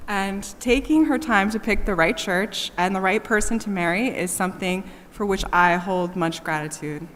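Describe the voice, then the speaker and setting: A woman's voice: medium pitch, normal speed, a bit bright, a little hiss or hum. Woman giving a eulogy in a reverberant hall. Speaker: eulogist, daughter speaking at a memorial. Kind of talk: prepared eulogy read aloud. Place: large church, speaking to a gathering. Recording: echoey church hall with long reverb.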